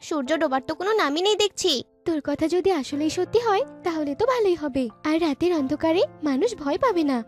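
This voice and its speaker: A woman's voice: very high-pitched, lively intonation, quick pace, faint music behind. A woman voicing a chatty, argumentative young village girl in a cartoon. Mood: debating. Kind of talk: cartoon dialogue.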